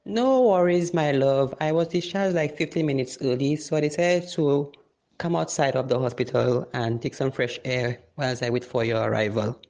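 A man playing a weary, affectionate injured partner in an amateur audio drama, measured and reassuring. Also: medium pitch, gravelly, darkish tone, close to an everyday voice. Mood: reassuring, pained.

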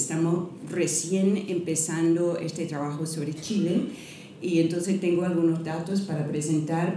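A middle-aged woman, not a native speaker, giving a careful, halting academic lecture at a podium, partly read aloud, with many restarts. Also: deep voice, mellow, slight background noise. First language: not Spanish. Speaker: guest lecturer. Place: university lecture hall, at a podium. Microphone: podium microphone. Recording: echoey hall, mic from a distance.

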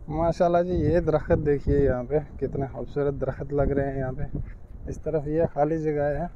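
Walking-tour vlogger, man, slightly high voice, devout and pointing things out, street noise behind. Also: steady tone, moderate pace, muffled recording. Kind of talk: casual walking-tour narration. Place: outdoors on city streets and at a mosque. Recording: noisy outdoor phone recording.

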